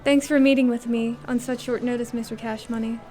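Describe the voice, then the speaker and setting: Woman acting a mellow, calculating noir femme. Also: fairly high pitch, steady tone, fairly quick, close to an everyday voice. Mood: polite, calculating. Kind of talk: noir radio drama dialogue.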